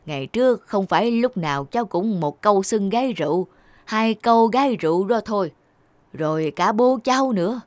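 A woman's voice: mid-range voice, lively intonation, fairly quick, no echo, natural voice. A woman voicing a kindly but scolding old village shopkeeper in a folksy, earnest way. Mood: chiding. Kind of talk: Vietnamese cartoon dubbing.

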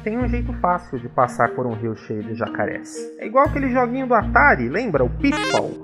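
A confident, chatty frog from a cartoon comedy. His talk is casual and swings widely from low mutters to high, eager pitches over a music bed. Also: sing-song, fairly quick.

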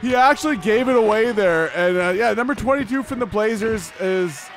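A man's voice: high-pitched, moderate pace. A man hosting joking play-by-play commentary of an amateur hockey game, rambling and laughing at himself. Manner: rambling, laughs at himself, keeps saying the co-host's name. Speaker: play-by-play host.

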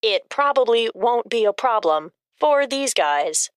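Deadpan woman reading facts, dry sound. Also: fairly high pitch, a little slow, no echo. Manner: matter-of-fact, deadpan. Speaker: fact-giving co-narrator. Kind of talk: scripted informational narration.

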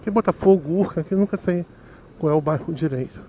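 A high-pitched man with a Rio de Janeiro accent talks to his viewers through a helmet mic as he rides a motorcycle through city traffic. He is chatty and mocks himself. Faint wind and road noise run under his voice. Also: brisk, mellow, poor audio. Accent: Rio de Janeiro Portuguese. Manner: chatty, self-mocking, talks to viewers directly. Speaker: motovlogger. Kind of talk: casual running commentary while riding.

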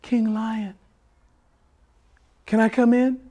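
A man's voice: sits high, flat delivery, slow, warm tone, pitched above the performer's own voice. A man voicing a naive, fearless, nosy monkey in a folktale, asking in an earnest, innocent way. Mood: curious.

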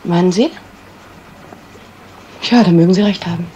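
A woman's voice: on the low side, warm tone, highs cut off, a little hiss or hum, natural voice. An actress playing a cool, evasive woman witness in a 1970s German radio crime play, answering dryly. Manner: dry, evasive, unhurried answers.

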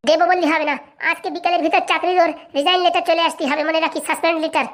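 A woman's voice: very high-pitched, steady tone. A woman voicing a furious, domineering wife in a comic cartoon, scolding and threatening. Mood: commanding, threatening. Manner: scolding, threatening, rapid-fire. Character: furious bossy wife, domineering mistress of the house.

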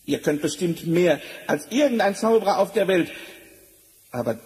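High-voiced sly talking tomcat. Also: male voice, moderate pace. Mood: wheedling. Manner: theatrical, flattering, mock-amazed. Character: sly, bold male talking cat, courtly flatterer. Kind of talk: fairy-tale audio drama acting. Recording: reverberant hall, noisy vinyl transfer.

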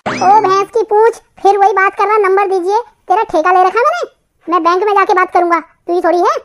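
Cartoon role: boastful, hot-headed village boy, extremely high squeaky pitch, Kumaoni accent, brash. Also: brisk, fairly bright tone. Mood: angry, threatening. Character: cocky, hot-tempered village lad protecting his mother. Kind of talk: comic cartoon dialogue.

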